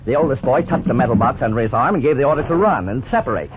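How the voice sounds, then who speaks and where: A man playing an authoritative lawyer who narrates a case history in an earnest, measured 1950s radio style. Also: mid-range voice, lively intonation, close to an everyday voice. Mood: earnest, explanatory. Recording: tinny, noisy 1950s radio recording.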